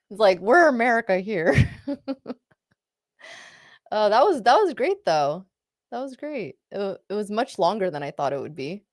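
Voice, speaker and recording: A woman's voice: mid-range voice, sing-song, a little slow, long pauses, no echo, clean studio sound. A woman co-hosting a live trading stream, chatting playfully and laughing often as she comments on the market. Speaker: co-host of a live trading show. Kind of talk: casual chat and market commentary.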